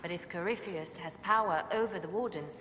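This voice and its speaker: An actress playing a composed, devoted female spymaster in a fantasy video game, measured and earnest, in an echoing hall with noise. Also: on the low side, a bit bright, lightly acted. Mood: solemn, tender. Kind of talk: cinematic game dialogue acting. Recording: echoing stone-like hall, noisy ambience.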